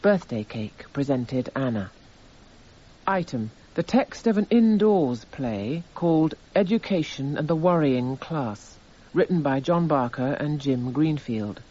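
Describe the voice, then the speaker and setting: Radio play narrator: male, breathy-rough tone, factual, formal delivery, slightly muffled. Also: on the high side, expressive, measured pace, mellow. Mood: detached. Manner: formal, itemising, detached. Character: matter-of-fact male documentary narrator reading evidence. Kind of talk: scripted radio drama narration.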